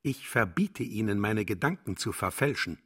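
An actor playing a pompous, businesslike man with a rough voice and a cold, indignant temper in a radio play. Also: lowish voice, animated, moderate pace, fairly bright tone.

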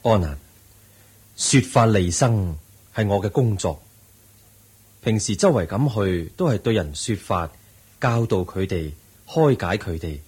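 The serene, wise Buddha. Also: male voice, fairly low pitch, expressive, a little slow, long pauses, slightly rough, exaggerated voice. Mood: serene, patient. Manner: gentle, patient, questioning like a teacher. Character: serene wise Buddha, calm male teacher. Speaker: Buddha in a radio play. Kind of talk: 1980s-style radio drama acting.

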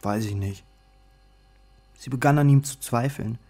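A husky young man speaks as a worried student in a radio crime drama. His pitch is middling and his talk is colloquial and uneasy, with faint music behind him. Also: unhurried, darkish tone. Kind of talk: German radio play dialogue.

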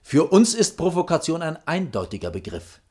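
An actor playing a stiff, dutiful, guarded male official in a German radio play, husky and formal, with a stern bureaucratic manner. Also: fairly high pitch, huge pitch swings, moderate pace, a little mellow, full character voice. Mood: stern, irritated.

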